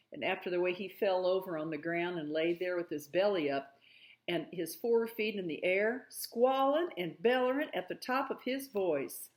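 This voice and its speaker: A woman narrating a storybook aloud in an expressive way. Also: on the low side.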